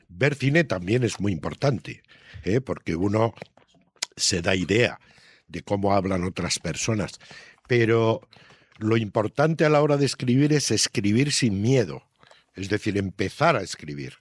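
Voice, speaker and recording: A man's voice: fairly low pitch, husky, dry recording. A man answering as an interviewed author in a reflective, explanatory way, repeating points for emphasis and checking in with short tag questions. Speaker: interviewed children's book author.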